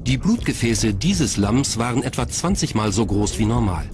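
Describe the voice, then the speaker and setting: A man's voice: medium pitch, expressive, normal speed, noisy background. A man reading scripted documentary narration as the narrator. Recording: music bed behind narration. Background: background music.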